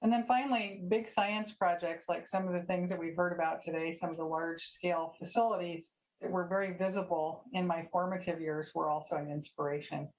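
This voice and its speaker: Woman scientist, lowish voice, calm and clear, muffled call audio. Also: brisk, few pauses. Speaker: scientist panelist. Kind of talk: panel answers. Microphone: video call.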